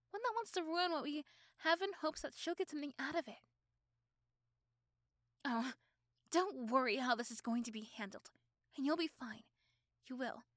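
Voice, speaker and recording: A high, closely recorded young female voice acted by a woman plays a possessive schoolgirl girlfriend in an ASMR roleplay. She speaks straight to her boyfriend, doting and protective with a menacing edge. Pauses and repeated words make her delivery dramatic and intense. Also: a bit bright, no room sound, clean studio sound. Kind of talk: ASMR roleplay acting addressed to the listener.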